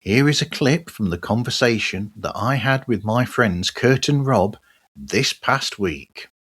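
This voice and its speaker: A man's voice: medium pitch, lively intonation, a little slow, a bit bright, slightly rough. A man hosting a podcast, presenting in a conversational way. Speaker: podcast host.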